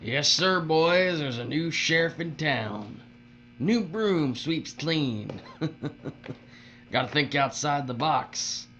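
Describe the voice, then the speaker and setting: A bright-voiced, smug, bullying foreman. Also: fairly high pitch, expressive, takes it slowly, muffled recording, slight background noise, full character voice. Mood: gloating, bullying. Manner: folksy, self-satisfied, needling. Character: smug, bullying, folksy newly promoted foreman. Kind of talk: audio drama acting.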